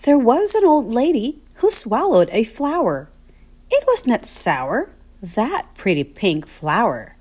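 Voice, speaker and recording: A woman reading a children's picture book aloud in an expressive, deliberate, sing-song storytelling manner. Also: a little high.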